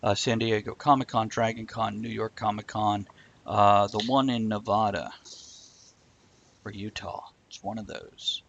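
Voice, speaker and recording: A man's voice: on the low side, level tone, a little slow, vocal fry, a bit bright, slight background noise. Blunt man chatting, slightly dull lo-fi audio. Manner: blunt, opinionated, swears. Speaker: host of a live talk show. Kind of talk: casual chat, answering live viewer comments.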